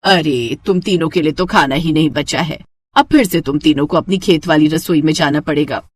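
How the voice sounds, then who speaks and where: Casting: female narrator as older village saas in a storybook tale, lowered pitch, matronly and bossy. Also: moderate pace. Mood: matter-of-fact, chiding. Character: older village mother-in-law, reasoning, bossy.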